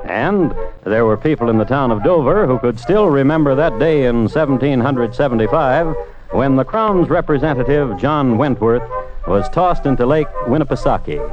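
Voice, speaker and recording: A man narrating a scripted old-time radio crime drama in a wry, deliberate manner. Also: medium pitch, animated, natural voice. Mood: wry, measured. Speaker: narrator and host of a crime series. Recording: dull old radio recording. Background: music.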